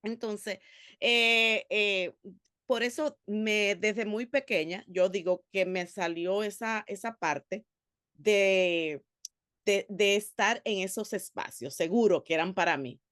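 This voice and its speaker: A woman's voice: mid-range voice, takes it slowly, dry recording, clean studio sound. Earnest woman giving testimony. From Dominican Republic. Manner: earnest and animated, with frequent hesitations. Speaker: panelist, theologian and psychologist. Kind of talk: personal testimony at a panel.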